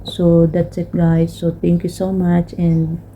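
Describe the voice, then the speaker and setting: A young Filipino woman vlogs from her employer's home, unboxing and thanking people in halting, filler-heavy speech. Her voice is very dark and mellow and sits fairly flat. Faint noise hums underneath. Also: fairly low pitch, low-quality recording. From Philippines. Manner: halting, many fillers, mixes Tagalog and English. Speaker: vlogger. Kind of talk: casual unboxing vlog.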